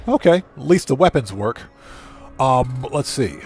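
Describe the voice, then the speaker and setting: A man's voice: medium pitch, big pitch swings, measured pace, soft background music. A man hosting a livestream, chattily thinking aloud as he gives casual video game commentary and talks to viewers. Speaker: livestream host.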